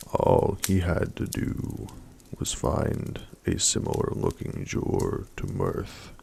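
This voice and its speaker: Deep gravelly soft-spoken man. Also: slight background noise. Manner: slow, soft-spoken, mostly unvoiced whispery delivery. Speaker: ASMR storyteller. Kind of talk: soft-spoken ASMR story reading.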